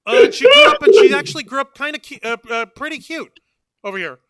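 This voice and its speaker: A man hosting a casual, joking online talk show, loud and exasperated, swearing often. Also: very high-pitched, wildly swooping pitch, brisk, crowd noise. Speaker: podcast host. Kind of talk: casual comedic chat.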